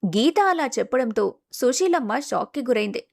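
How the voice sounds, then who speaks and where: A woman's voice: sits high, lively intonation, moderate pace, gravelly. A woman reading a scripted family story as a dramatic narrator.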